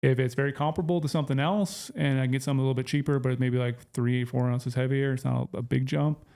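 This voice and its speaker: A man's voice: mid-range voice, steady tone, quick pace, creaky, a little mellow. A man co-hosting a casual podcast, explaining his choices in an easygoing, plain way. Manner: easygoing, explains plainly, short agreeing replies. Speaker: podcast co-host.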